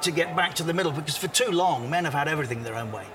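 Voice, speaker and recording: Self-assured older man, slightly higher voice. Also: lively intonation, recorded from a distance, a crowd in the background. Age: 60s. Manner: measured, self-assured, with restarts. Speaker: interview guest, former broadcaster. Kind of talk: reflective interview answers. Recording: outdoor location, audible city noise.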